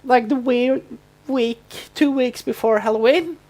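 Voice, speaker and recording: A woman with a high voice chats casually as co-host of a fan podcast. She sounds amused and is quick to laugh. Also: darkish tone.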